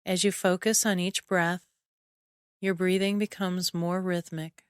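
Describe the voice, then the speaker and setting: Female guided imagery narrator: soothing, measured delivery, clean smooth tone, very unhurried, with long pauses. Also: fairly low pitch, flat delivery, no room sound, clean studio sound. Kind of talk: guided relaxation meditation, scripted narration.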